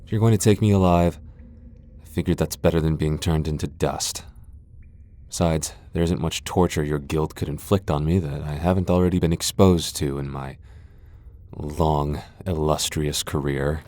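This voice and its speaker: A man's voice: rumbling low, steady tone, normal speed, mellow. A man playing a haughty, centuries-old vampire lord in a fantasy ASMR roleplay, sardonic, taunting and theatrical. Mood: wry, grudging.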